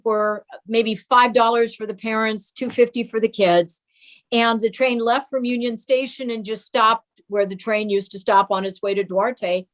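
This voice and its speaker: A mature woman speaking as an interview guest, telling fond family recollections in a casual storytelling style. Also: fairly high pitch.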